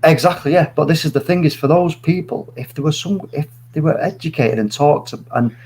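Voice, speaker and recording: A man's voice: on the high side, brisk, a little mellow, slight background noise. A man in his late forties, a guest on a live stream, giving his views earnestly and rambling through many restarts. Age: 40s. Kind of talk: opinionated live chat.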